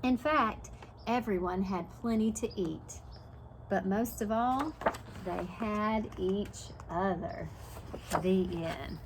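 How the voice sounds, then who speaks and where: A woman reads a children's picture book aloud like a preschool teacher, in an animated way, pausing to put questions to young listeners. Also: mid-range voice, background noise.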